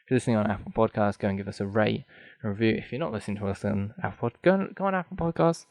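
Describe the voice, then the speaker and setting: A man's voice: on the low side, racing, creaky, a little mellow, no room sound. A man hosting a solo film podcast, rambling and thinking aloud with many ums, his voice getting dry. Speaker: podcast host.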